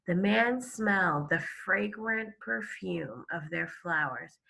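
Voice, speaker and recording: Woman, bright clear-cut tone, expressive elementary teacher, slower read-aloud pace, explaining as she goes. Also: medium pitch. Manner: expressive, explains to listeners with questions and gasps.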